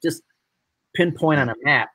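A man from upstate New York hosting a casual live video chat with guests, talking in a chatty, animated way. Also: on the high side, normal speed, husky, dry recording. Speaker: host.

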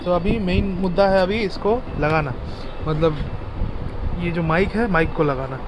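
A man's voice: sits high, normal speed, background noise. A young man with an Indian accent giving a casual, informal product demo, mixing Hindi and English. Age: young adult. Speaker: motovlogger and gear shop owner. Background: music.

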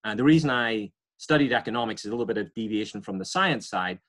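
A man in his forties, an environmental scientist, explaining his career to students over a video call and often pausing with uhs and restarts. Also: lowish voice, animated, fairly bright tone. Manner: hesitant, many uhs and restarts. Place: home or office on video call, virtual background. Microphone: webcam or laptop mic on video call.

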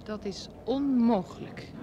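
A woman's voice: on the high side, unhurried, dull audio, crowd noise, natural voice. An actress playing an insistent lover running off with a married man in an old Dutch radio play. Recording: old radio recording, clear background noise.

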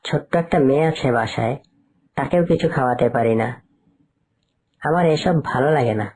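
Struggling male fox labourer for a cartoon, played by a woman: worried, pleading, deep for a female voice. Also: a little fast, darkish tone, slightly rough. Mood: weary, complaining. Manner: plaintive, pleading. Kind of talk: cartoon dialogue.

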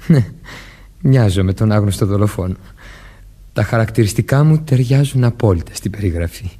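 Radio mystery play: jittery young man, childlike and plaintive, very husky, mellow tone, hesitant delivery. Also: fairly low pitch. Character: Christopher, nervous childlike young man suspected of murder. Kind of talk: Greek radio theatre acting.

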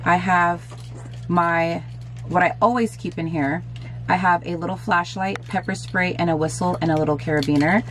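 Woman, 30s, lowish voice, slightly hoarse, chatty and upbeat, small bedroom, faint hiss. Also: fairly even intonation, moderate pace. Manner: chatty and upbeat, addresses viewers directly. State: slightly hoarse, losing her voice. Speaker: lifestyle vlogger. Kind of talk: casual product show-and-tell.

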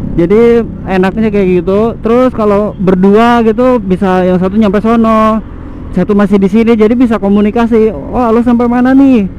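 High-pitched man, casual chatty motovlogger, soft dark tone, repeats for emphasis, faint road noise. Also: steady tone, moderate pace. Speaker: motovlogger host. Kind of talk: casual chat while riding. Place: outdoors, riding a motorbike on city roads. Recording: muffled helmet mic, engine and traffic noise.

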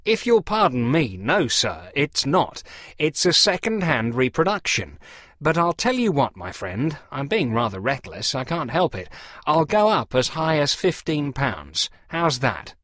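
A man's voice: a little high, big pitch swings, unhurried, fairly bright tone, dry recording, clean studio sound, voice pushed up, exaggerated voice. A man voicing a sly, over-polite middle-aged dealer posing as a fussy parson in a storybook reading. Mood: smoothly dismissive. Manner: over-polite, apologetic, feigning reluctance. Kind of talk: storybook character dialogue.

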